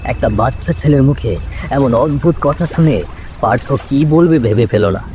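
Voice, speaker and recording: A man's voice: medium pitch, normal speed, noisy background. A man narrating a scripted ghost story in a dramatic storytelling style.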